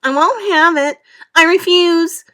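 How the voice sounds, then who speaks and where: Woman as a panicky male earthworm, high-pitched, loud, indignant, spluttering, stubborn, storybook character. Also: slow pace, heavily acted. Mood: panicked, furious. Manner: shrill, spluttering protest.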